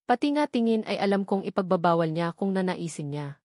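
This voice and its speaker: A woman's voice: lowish voice, animated, a little fast, darkish tone, dry recording, clean studio sound. A woman reading a first-person romance novel as a scripted story narration, with acted dialogue. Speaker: audiobook narrator.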